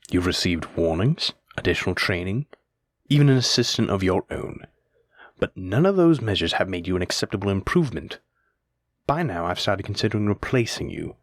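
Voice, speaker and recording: A man playing a stern, teasing demon lord in a scripted audio roleplay, speaking in a measured, commanding way. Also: low-pitched, dry recording, clean studio sound. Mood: cold, threatening.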